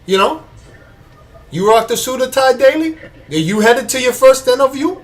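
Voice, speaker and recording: Guy doing a loud cuban gangster voice, cocky and threatening, pitched up high. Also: animated, normal speed, far from the mic. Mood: swaggering. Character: Cuban gangster man hawking ties, menacing bravado.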